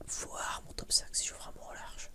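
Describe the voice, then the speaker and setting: A woman chatting casually as an ASMR creator, in a rambling, halting way that often repeats words and phrases. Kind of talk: whispered ASMR casual chat.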